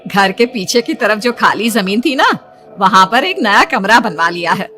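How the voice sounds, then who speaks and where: A woman voicing a caring middle-aged mother who gently scolds her grown daughter in a horror cartoon. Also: medium pitch, lively intonation, normal speed, soft background music. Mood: gentle. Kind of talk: animated horror story dialogue.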